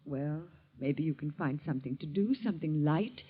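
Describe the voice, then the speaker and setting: An actress in a 1940s radio drama playing a gentle, indulgent woman, soothing and hesitantly apologetic, on a muffled, noisy old recording. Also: deep voice, normal speed, husky. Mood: soothing, resigned.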